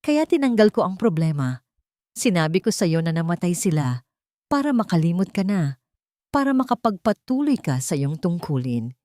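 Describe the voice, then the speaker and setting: Woman acting cold, proud, low-voiced older matriarch. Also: normal speed, dry recording, studio quality. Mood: unyielding. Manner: calm, hard, emotionless.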